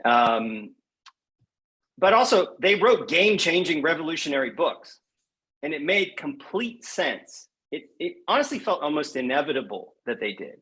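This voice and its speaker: A man's voice: a little high, big pitch swings, normal speed, a bit bright. A man speaking as a guest poet in a podcast interview, thoughtful and emphatic, often restating a point for weight.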